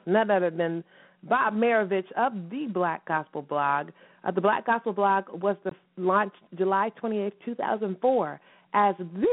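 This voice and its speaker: A woman hosting a radio show in a lively, chatty way, her voice slightly hoarse and raspy from a recent cough. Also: medium pitch. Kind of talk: casual radio chat. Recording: phone-line call-in radio.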